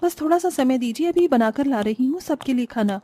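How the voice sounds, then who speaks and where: A woman's voice: sits high, lively intonation, brisk, warm tone. A woman voicing an overworked, long-suffering young housewife in a cartoon moral story, plaintive and dutiful.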